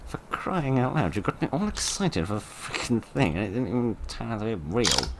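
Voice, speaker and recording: A man commentating his horror game playthrough in a chatty, wry way, with a voice that is getting hoarse. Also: lowish voice, big pitch swings, fairly quick, background noise. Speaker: gaming commentator. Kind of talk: let's play commentary.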